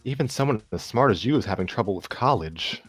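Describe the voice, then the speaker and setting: A man's voice: fairly low pitch, brisk, dry recording. A man voicing a cheerful, eager and admiring young woman in a story reading. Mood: surprised. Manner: breezy, eager, animated.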